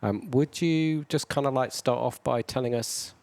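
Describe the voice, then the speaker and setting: A man's voice: medium pitch, normal speed, vocal fry, a little mellow. A man hosting a local pub podcast, chatting casually and wandering off on digressions. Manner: chatty, digressive, laughs along. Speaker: podcast host. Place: brewery.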